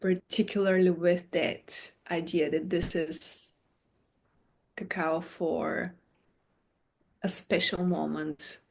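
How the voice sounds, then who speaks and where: Soft-spoken woman from Bulgaria. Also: lowish voice, level tone, slow, gravelly, pauses often, low-quality recording. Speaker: podcast guest, meditation teacher. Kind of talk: casual conversation, storytelling.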